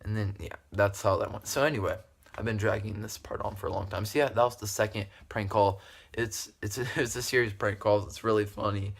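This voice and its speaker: A man's voice: on the low side, steady tone, brisk, creaky, breathy edge. Young guy rambling through a casual story. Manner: rambling, giggly, repetitive. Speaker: video creator telling stories.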